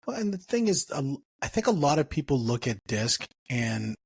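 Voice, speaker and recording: A man's voice: medium pitch, big pitch swings, moderate pace, creaky, slightly rough, no room sound. A man hosting a podcast, chatting casually in a rambling way, restarting sentences and reaching for analogies. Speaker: podcast host. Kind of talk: casual interview chat.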